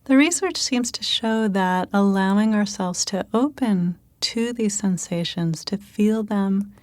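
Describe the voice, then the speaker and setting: A woman leading a guided meditation in a calm, gentle manner, giving unhurried instructions with pauses. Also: medium pitch, dry recording.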